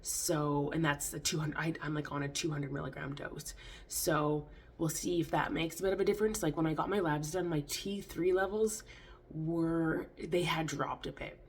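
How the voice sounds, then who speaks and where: A woman's voice: sits low, steady tone, moderate pace, a little hiss or hum. A woman from Canada with a congested, stuffy nose, chatting casually to camera in a rambling way, full of "like" and restarts. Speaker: fitness vlogger.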